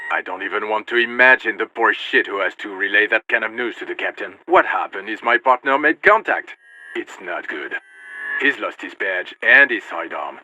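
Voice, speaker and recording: A man's voice: on the low side, pushed and bright. An actor playing an irate, fed-up policeman in a video game, curt and scornful, heard through a radio filter. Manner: curt, scornful, foul-mouthed. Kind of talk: dramatic video game acting. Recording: muffled, noisy radio transmission.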